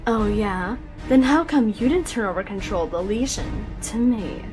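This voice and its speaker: A woman plays a strict mother echidna in a comic dub. Her voice sits at an average female pitch, stern and sharp-tongued, over faint music. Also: lively intonation, normal speed, natural voice. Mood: resentful.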